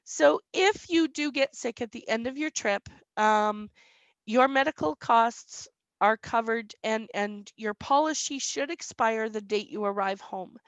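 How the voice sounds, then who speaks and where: Higher-voiced woman answering webinar questions. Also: a little slow, no echo. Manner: reads questions aloud then explains plainly, frequent "um". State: slightly squeaky voice.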